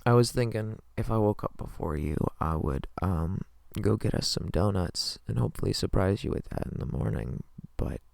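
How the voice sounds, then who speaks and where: A man playing a sleepy, affectionate partner the morning after a hookup in an intimate ASMR roleplay, murmuring hesitantly and tenderly in a breathy, gravelly voice close to the mic. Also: lowish voice, expressive, moderate pace, hardly any pauses, darkish tone, dry recording. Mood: sleepy, warm.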